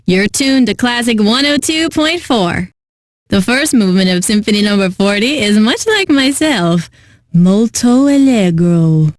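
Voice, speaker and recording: An actress playing a refined, eccentric classical radio host in a video game, speaking in a pompous, theatrical way that savors each phrase. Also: female voice, medium pitch, expressive, measured pace, no room sound, close to an everyday voice. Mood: poised, refined.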